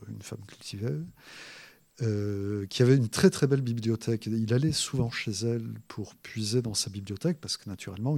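Husky, gravelly man explaining slowly. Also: lowish voice, a little mellow, dry recording. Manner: hesitant, many filler pauses and restarts. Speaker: author discussing his book. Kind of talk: thoughtful spoken explanation.

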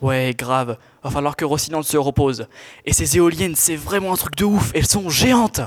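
A man's voice: a little high, normal speed, big-room sound, close to an everyday voice. A teenage man playing a sensible, exasperated sidekick in an audio drama, speaking casually with slang. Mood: impressed. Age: teens. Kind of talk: scripted audio fiction acting.

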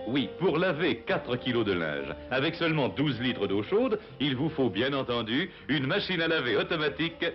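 Old-time commercial announcer, a man acting: lively intonation, appliance pitch, dull recording over music. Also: normal speed, few pauses, natural voice. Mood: assured. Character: male announcer explaining appliances in an old advert. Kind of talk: vintage advertisement announcer.

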